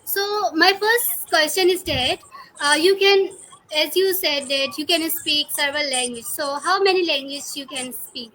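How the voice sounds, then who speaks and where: A woman hosting a casual remote interview, chatting in halting, non-native English. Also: high-pitched, bright, forceful tone, slight background noise, poor audio. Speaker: interviewer and podcast host.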